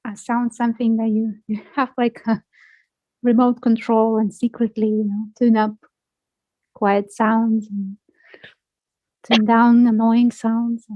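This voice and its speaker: A woman guiding a self-inquiry conversation, asking gently probing and playful questions. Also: fairly high pitch, level tone, slow, pauses often, no echo, muffled recording.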